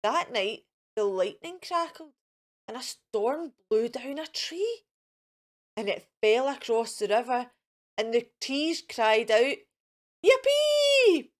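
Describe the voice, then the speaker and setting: A woman's voice: on the high side, slow pace. A woman hosting a children's storytime, reading a picture book aloud in a theatrical, sing-song way and speaking directly to the children.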